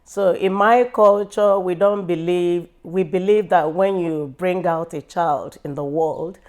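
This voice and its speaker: Nigerian woman, middle-aged, talks real slow and thoughtful, kinda low voice, lots of pauses. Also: fairly even intonation, darkish tone. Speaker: interview guest. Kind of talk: reflective personal interview.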